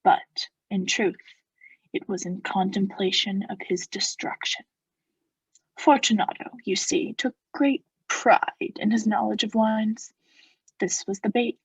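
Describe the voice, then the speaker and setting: A young woman playing a coldly vengeful noble who feigns friendship, in a measured, formal, insinuating theatrical radio-play style. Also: medium pitch, fairly even intonation, slightly rough, dry recording, poor audio. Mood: sly recounting. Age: young adult. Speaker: student actor.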